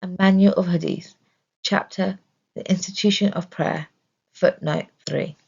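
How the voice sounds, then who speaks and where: A woman reads a scripted religious study text in a measured, deliberate way. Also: lowish voice, fairly even intonation, creaky, darkish tone, slightly rough, lo-fi sound. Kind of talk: scripted narration, religious lesson.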